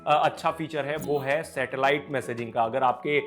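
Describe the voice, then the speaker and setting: A man's voice: medium pitch, steady tone, normal speed, fairly bright tone. A man with an Indian accent presenting tech news as an informal, unscripted explainer, mixing English words into his speech. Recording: music playing behind the voice. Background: background music.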